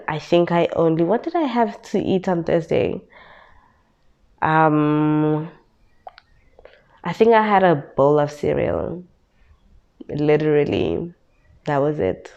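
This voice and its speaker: A congested young woman from South Africa talks through her week in a low, unhurried voice. She circles back and repeats her points for emphasis. The recording is slightly dull and muffled. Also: darkish tone. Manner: repetitive, emphatic retelling. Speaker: vlogger. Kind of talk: casual vlog storytelling.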